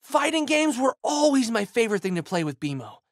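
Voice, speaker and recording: A man's voice: very high voice, big pitch swings, fairly quick, no echo, clean studio sound, heavily acted. A man voicing a plucky, goofy teenage boy hero with slangy, exuberant delivery for a video game. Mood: upbeat, chatty. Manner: exuberant, slangy surfer-dude boyishness. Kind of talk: video game character voice lines.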